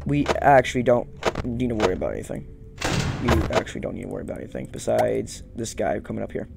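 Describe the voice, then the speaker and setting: Young man gaming, gravelly creaky voice. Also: lowish voice, animated, fast, very noisy. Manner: repeats phrases, thinking aloud. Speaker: gaming YouTuber. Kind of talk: casual let's-play commentary. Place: small room indoors at home. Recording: loud game music behind voice.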